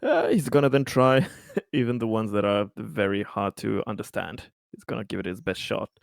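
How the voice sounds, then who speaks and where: A man playing a tabletop roleplaying game, talking through his plans in a halting, deliberate and rather formal way. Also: lowish voice, vocal fry, dry recording, studio quality. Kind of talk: improvised in-character discussion.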